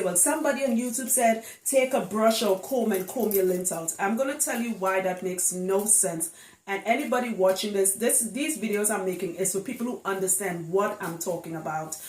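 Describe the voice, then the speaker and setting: A woman giving a casual, chatty explainer to camera as a hairstylist, emphatic and fond of rhetorical questions. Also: mid-range voice. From Trinidad. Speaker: locs hairstylist. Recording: roomy, echoing indoor sound.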